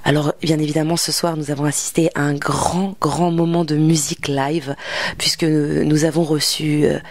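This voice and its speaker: A man's voice: fairly high pitch, little pitch movement, normal speed. A native French-speaking man with a French accent, hosting a music TV interview.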